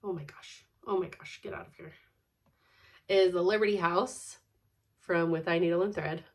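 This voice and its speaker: A woman's voice: lowish voice, steady tone, moderate pace. Stuffy-nosed woman chatting casually. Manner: chatty, laughs often, rambling asides. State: congested, stuffed-up nose. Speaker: cross-stitch vlogger. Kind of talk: casual chat.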